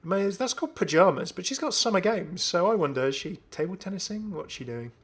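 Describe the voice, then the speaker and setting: A man's voice: high-pitched, expressive, quick pace, slightly rough. A young man giving casual, enthusiastic commentary on a video game. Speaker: gaming video host.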